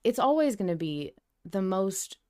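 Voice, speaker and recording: A woman co-hosting a fan discussion podcast, chatting casually in an emphatic, expressive, sweary way. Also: mid-range voice, a little slow, smooth. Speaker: podcast co-host.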